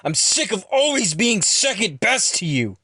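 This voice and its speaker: A man's voice: sits high, very animated, unhurried, sharp tone, husky, no room sound, clean studio sound, full character voice. A man playing a bitter, resentful martial artist, aggressive and sneering, in a dramatized audio story. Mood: bitter, aggravated.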